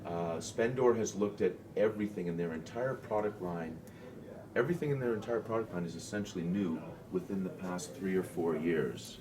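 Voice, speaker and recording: A man giving an informal, explanatory product presentation as a distributor at a trade show, with halting pauses. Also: low-pitched, crowd noise. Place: indoor trade show demo room. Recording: clearly noisy trade show room.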